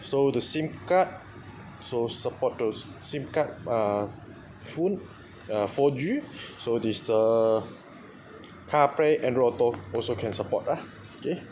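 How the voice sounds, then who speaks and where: A man with a Malaysian accent giving an informal product demonstration in short, clipped, halting phrases of simple English. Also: medium pitch, lively intonation, a little mellow. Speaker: car audio installer demonstrating a product. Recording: noisy room with clear background noise.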